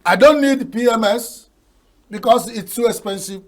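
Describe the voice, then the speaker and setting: Man, older, Nigerian accent, Igbo native speaker, high voice, TV talk show guest, folksy, proverb-laden. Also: moderate pace. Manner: folksy, proverb-laden, laughs at his own jokes.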